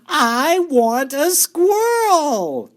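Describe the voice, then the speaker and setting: A man's voice: very animated, moderate pace. A man voicing a spoiled, demanding young girl who shrieks and whines for what she wants in a storybook reading. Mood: shrieking demand. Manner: shrill, whining, shouting.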